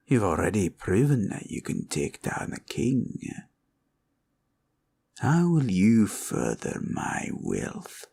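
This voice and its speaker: Slow, gravelly dragon villain. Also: male voice, lowish voice, exaggerated voice. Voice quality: gravelly, husky, breathy growl. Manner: slow, drawling, taunting, archaic turns of phrase. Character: sly, menacing, greedy male green dragon villain. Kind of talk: fantasy roleplay ASMR.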